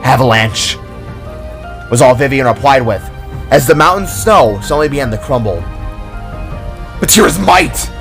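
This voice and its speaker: Male author-narrator, mid-pitched, dramatic scripted storytelling, clear music bed underneath. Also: lively intonation, a little fast, fairly bright tone.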